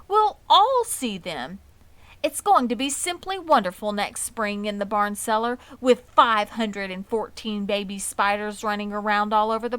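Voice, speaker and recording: A woman voicing an excitable, affectionate young male pig in a storybook reading, full of wide-eyed questions. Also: fairly high pitch, no room sound, pitched above the performer's own voice.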